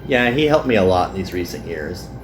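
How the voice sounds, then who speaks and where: A man's voice: low voice, big pitch swings, a little fast, slight background noise, poor audio. A man voicing a weary, self-deprecating young male worker in a visual novel. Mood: earnest, embarrassed.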